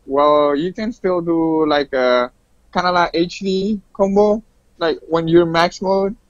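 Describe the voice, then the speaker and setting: A man's voice: on the high side, dry recording. Guy with a lightish voice, english not his first language, chatting about games, bit muffled. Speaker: guest esports player. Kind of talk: casual interview answers about a fighting game.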